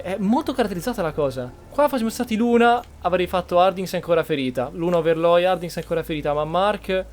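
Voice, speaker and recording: A man's voice: a little high, darkish tone. A man giving casual roleplay commentary as he plays through a game. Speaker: gaming video host. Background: game music.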